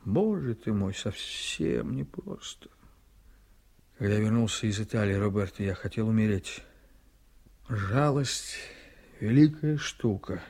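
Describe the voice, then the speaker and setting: An actor playing an ambitious, cynical, domineering politician and prosecutor in a radio drama, with a theatrical, emphatic delivery that moves between confiding and fierce. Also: male voice, lowish voice, lively intonation, a little slow, pauses often, a little mellow. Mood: bitter, intense. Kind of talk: Soviet radio drama acting.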